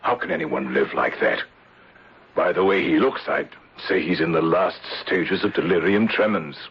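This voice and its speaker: Husky, low-voiced gentleman investigator. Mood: probing. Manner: measured, formal. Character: grave, protective gentleman insurance investigator. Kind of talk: old-time radio drama acting. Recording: dull old radio broadcast.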